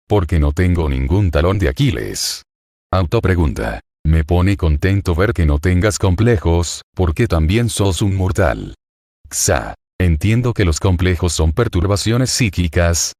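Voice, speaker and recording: A man from Argentina with an Argentine accent, a psychoanalyst reading a scripted self-interview in a solemn, deliberate, self-assured way. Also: sits low, a little mellow, dry recording, studio quality. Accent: Argentine Spanish.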